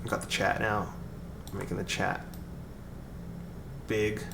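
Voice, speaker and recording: A man with a raspy voice chatting casually on a live stream, rambling through explanations with lots of fillers and restarts. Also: on the low side, little pitch movement, a little slow. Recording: echoey room with background noise.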